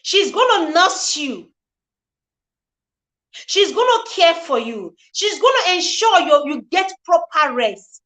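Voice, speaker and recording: A woman in her forties hosts a live relationship talk in a bright, ringing voice. She speaks earnestly and preaches in repeated phrases. She stops to greet viewers by name. Her voice sits high. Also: big pitch swings, slow pace. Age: 40s. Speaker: relationship show host.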